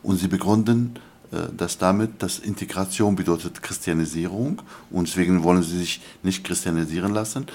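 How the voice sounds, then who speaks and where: An older man, a scholar answering interview questions in an earnest, lecturing way, often halting with fillers. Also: low voice, darkish tone. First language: Arabic. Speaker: guest scholar.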